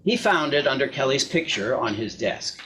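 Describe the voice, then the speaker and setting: A man's voice: mid-range voice, lively intonation, normal speed, a bit bright. A man narrating a scripted short story aloud as a reader in a readers theater. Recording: clear background noise, clicks.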